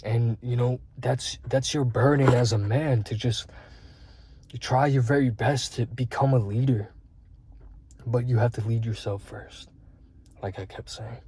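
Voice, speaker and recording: A man's voice: mid-range voice, fairly even intonation, measured pace, warm tone, slight background noise. A man in his twenties giving earnest, rambling self-improvement talk as a vlogger, with frequent fillers and swearing. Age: 20s.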